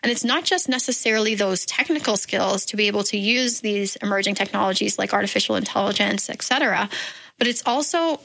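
A woman's voice: medium pitch, brisk, creaky, fairly bright tone, a little hiss or hum, low-quality recording. A woman from the Bahamas, a guest in a radio studio interview, answering thoughtfully with frequent "um" fillers. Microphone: studio microphone.

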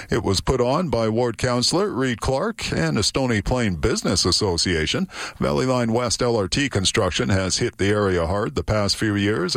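Male newsreader, low voice, dry studio sound, steady reading. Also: dry recording. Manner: steady, measured delivery. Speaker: news anchor. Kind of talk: radio newscast reading.

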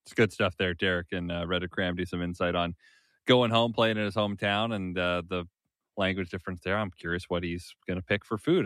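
A man hosting a sports talk show, briskly setting up interview clips and commenting on games. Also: low voice, a bit bright, no echo. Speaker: sports radio host.

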